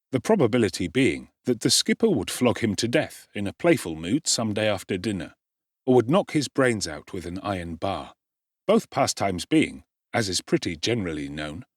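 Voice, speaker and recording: A man's voice: fairly low pitch, no echo, studio quality. A man narrating an audiobook in a wry, theatrical storytelling manner.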